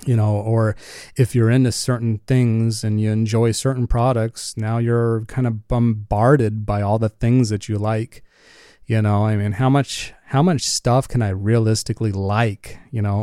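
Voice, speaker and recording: A man's voice: lowish voice, fairly even intonation, moderate pace, dark tone, smooth, no room sound. A man hosting a casual solo podcast from his home studio, rambling with many fillers. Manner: rambling, full of "you know" fillers. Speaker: podcast host and music producer. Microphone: studio condenser microphone.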